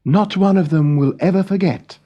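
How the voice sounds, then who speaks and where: A man reading a scripted children's story in a measured, expressive storytelling manner. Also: sits high, dull audio.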